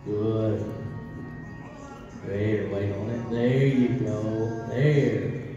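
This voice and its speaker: A man with a Texan accent coaching riders live with short, repeated commands, calm and encouraging. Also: fairly low pitch, very slow. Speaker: horse riding instructor. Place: large covered indoor arena. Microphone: camera far across the arena. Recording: distant, roomy arena sound, dull audio with music behind.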